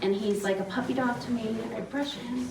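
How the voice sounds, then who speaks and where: A woman's voice: on the high side, a little fast. Woman rancher, chatty guest speaker; echoey room, crowd noise behind. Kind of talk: casual talk to an audience. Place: expo hall. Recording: roomy hall from far off with crowd.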